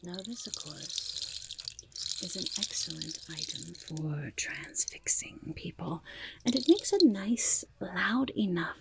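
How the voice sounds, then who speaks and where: A woman voicing a scheming, chatty goblin market trader in a breathy, raspy whisper, as an ASMR roleplay with sly, coaxing sales patter. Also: sing-song, slow pace, very noisy, heavily acted. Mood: playful, scheming. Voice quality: breathy, raspy whisper with creaky low murmurs.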